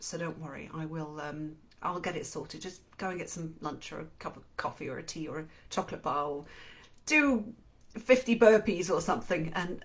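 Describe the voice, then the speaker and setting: A woman's voice: lowish voice, fairly bright tone. A middle-aged woman with an English accent, co-hosting a live-streamed book show in a chatty, self-deprecating way, often laughing. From England and Switzerland. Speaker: podcast co-host. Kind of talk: live-streamed casual chat.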